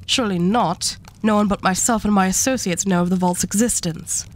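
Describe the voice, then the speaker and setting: Female arch devil for an ASMR roleplay, acted by a woman: mid-pitched, imperious treasure hoarder, condescending, unhurried, courteously threatening. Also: close to an everyday voice. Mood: coolly menacing. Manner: condescending, unhurried, politely menacing. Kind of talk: scripted ASMR roleplay acting.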